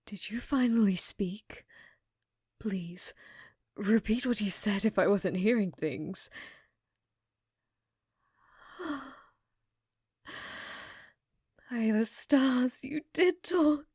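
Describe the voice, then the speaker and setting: A woman voicing an unhinged, infatuated scientist in a hushed, breathy ASMR roleplay, clinical yet flirtatious and often whispering. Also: on the high side, expressive, takes it slowly, no room sound, studio quality, full character voice. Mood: excited. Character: unhinged, infatuated female scientist studying a captive alien.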